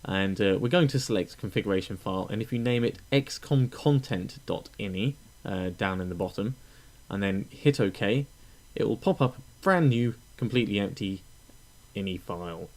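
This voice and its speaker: A man narrating a step-by-step software tutorial in an informal, instructional way, with frequent hesitations. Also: fairly low pitch, animated, normal speed. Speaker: tutorial presenter.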